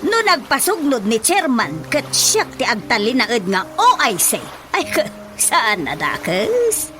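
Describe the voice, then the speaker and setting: A woman's voice: a little high, wildly swooping pitch, a little slow, over music. A woman playing a feisty, easily panicked village office worker in a comic radio drama, exclaiming expressively. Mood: questioning, assertive. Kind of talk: radio drama comedy acting.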